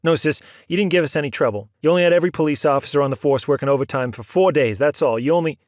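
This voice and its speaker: A man's voice: lowish voice, normal speed, dry recording. A man voicing a tough, tired police detective, blunt and sarcastic, in a plain mid-range voice. It is recorded dry and close. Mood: exasperated. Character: gruff weary male police detective.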